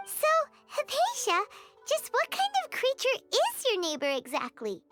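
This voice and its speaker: A woman voicing a tiny, excitable fairy girl companion in a video game, perky and expressive, with a squeaky childlike voice. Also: a little slow, heavily acted. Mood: skeptical, curious. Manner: perky, expressive, speaks of herself in third person. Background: music.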